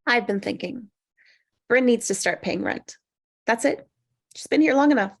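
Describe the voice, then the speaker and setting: Actress as a defensive single woman, higher voice with swooping pitch, polite but easily exasperated, table read. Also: lively intonation, moderate pace, gravelly, breathy edge, heavily acted. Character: awkward, defensive single woman, quietly competitive.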